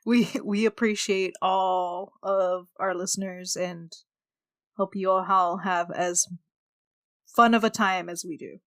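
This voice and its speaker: A woman's voice: on the low side, steady tone, slow, fairly bright tone, dry recording, studio quality. A woman speaking casually as a podcast regular. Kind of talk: casual group chat.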